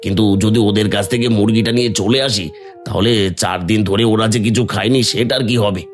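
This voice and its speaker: A man doing a poor, good-natured village man in an animated folk tale. His voice sits a little low, and he speaks earnestly, often musing aloud. Soft music plays underneath. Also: fast talker, lightly acted. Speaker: voice actor. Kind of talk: Bengali cartoon voice acting.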